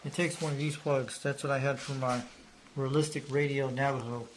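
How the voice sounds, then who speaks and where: A man's voice: medium pitch, fairly even intonation, a little fast, poor audio. A man presenting a casual, unscripted hobby show-and-tell in a rambling, repetitive way. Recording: noticeable background noise.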